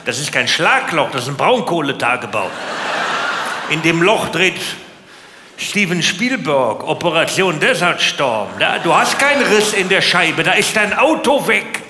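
A man's voice: on the high side, sing-song, unhurried. A man doing stand-up comedy with exaggerated indignation, throwing rhetorical questions at the audience. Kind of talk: stand-up comedy routine with rants. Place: large indoor live comedy venue. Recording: echoey hall, noisy live audience.

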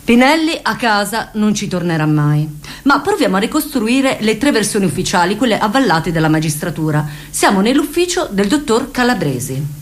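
A woman's voice: medium pitch, big pitch swings, normal speed. A woman narrating satirical radio theatre with a dramatic, mock-official delivery. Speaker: actress and narrator.

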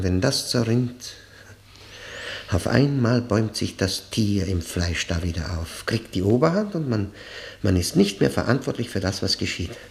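Radio drama: embittered male lifer, low gravelly voice, slow and halting, haunted, bitterly ironic. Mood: tormented disgust. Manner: deliberate, halting, repeating words with grim weight. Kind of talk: German radio play acting, dramatic monologue.

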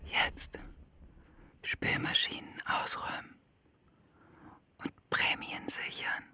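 An actress playing a dying woman who murmurs slogans with her last strength in a radio drama. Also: sharp tone. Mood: weak, fading. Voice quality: breathy whisper. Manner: slow, weak delivery.

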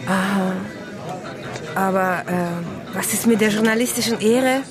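A woman's voice: medium pitch, unhurried, close to an everyday voice. An actress playing a friendly, inquisitive woman at a party in a radio drama. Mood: friendly, questioning. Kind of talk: German radio drama acting. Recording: loud party music and crowd.

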